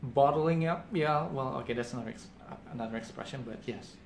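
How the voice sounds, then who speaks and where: A man's voice: medium pitch, brisk. A man from Indonesia with an Indonesian accent, talking thoughtfully in casual conversation and often repeating words as he gathers his thoughts. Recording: echoey room, faint background noise.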